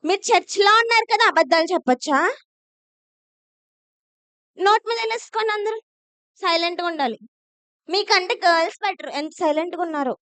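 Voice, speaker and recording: A woman's voice: extremely high pitch, a little fast, long pauses, fairly bright tone, no echo. A woman hosting a Sunday school puppet show, addressing children live in a bossy, teacherly way. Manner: bossy, teacherly, repeatedly instructing.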